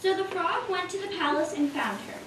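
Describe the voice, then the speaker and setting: A woman's voice: very high voice, expressive, a little fast, a bit bright. A woman narrating a scripted children's story as part of a puppet show. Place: community puppet show in roomy space. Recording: echoey room, distant mic, clear noise.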